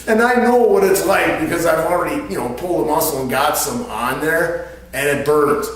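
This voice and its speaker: A man's voice: a little high, normal speed. Echoey middle-aged man telling a story. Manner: colloquial, crude humor, chuckles as he tells it. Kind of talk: casual animated storytelling. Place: garage workshop, indoors. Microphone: camera several feet away. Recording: echoey, distant camera mic with faint noise.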